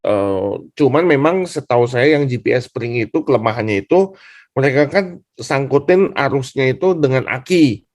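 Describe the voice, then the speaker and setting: A man's voice: mid-range voice, expressive, moderate pace, darkish tone, no room sound, clean studio sound. A man speaking as a student in a class discussion, explaining informally and deferentially, circling back over his points. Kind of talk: informal spoken question and explanation.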